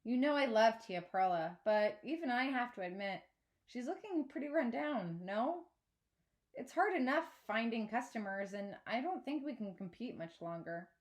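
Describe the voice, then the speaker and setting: A woman voicing a gentle, reasoning middle-aged father in a children's book read-aloud. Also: on the high side, animated, moderate pace, close to an everyday voice. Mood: resigned.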